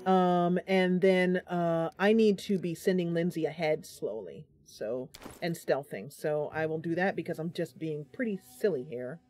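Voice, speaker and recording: A woman hosting a casual game livestream, chatty and animated, talking through her play and reading bits of story aloud. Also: on the low side, a little slow, hardly any pauses, soft background music. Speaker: streamer and host playing a fantasy role-playing game. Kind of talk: casual livestream gameplay commentary with narration read aloud.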